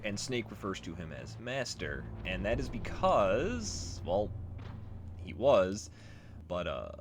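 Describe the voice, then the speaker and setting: A man's voice: mid-range voice, noisy background. A man giving casual, informal, sweary video game commentary as a let's play host. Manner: profane, informal.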